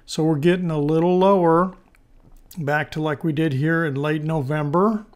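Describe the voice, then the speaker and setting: Hoarse man, higher-pitched voice. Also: fairly even intonation, moderate pace. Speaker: host of a stock market analysis video. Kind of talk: informal technical analysis commentary.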